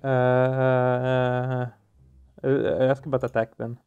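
A man giving casual let's play commentary, halting as he searches for words. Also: mid-range voice, monotone, gravelly, smooth. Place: small room at home, indoors. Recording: dull muffled sound, music behind. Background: game music.

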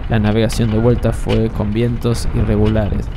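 A man's voice: lowish voice, completely flat delivery, normal speed, background noise. A man with an Argentine accent narrating a sailing travel story as a voice-over. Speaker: sailor and video narrator. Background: music.

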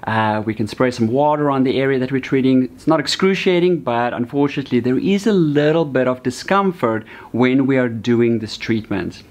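A man in his forties with a Canadian accent, a doctor calmly explaining a treatment to patients in a bright clinic room, with a few fillers. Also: medium pitch, moderate pace, few pauses. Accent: Canadian English. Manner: calm, explanatory, with some fillers. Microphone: seated, medium shot to camera.

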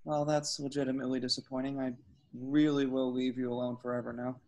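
A man reading the part of a hapless, earnest young slacker hero at an amateur table read, halting and excitable. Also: medium pitch, natural voice. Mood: resigned. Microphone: video call.

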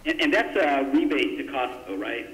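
A man's voice: mid-range voice, lively intonation, normal speed, a bit bright. Hesitant, polite man in an echoey chamber. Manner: hesitant, polite, self-interrupting. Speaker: city council member. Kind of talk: asking budget questions in a public meeting. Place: indoor council chamber. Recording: echoey meeting room.

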